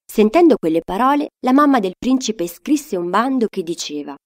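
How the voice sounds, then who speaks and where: A woman's voice: mid-range voice, animated, moderate pace. A woman telling a fairy tale to children, reading a scripted story expressively as narrator.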